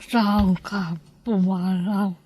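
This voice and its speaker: A woman's voice: medium pitch, flat delivery, drawn-out words, dark tone. An actress playing a bedridden, pleading mother with weak, labored speech and an Ilocano accent in a drama. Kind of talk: Ilocano radio-style drama acting.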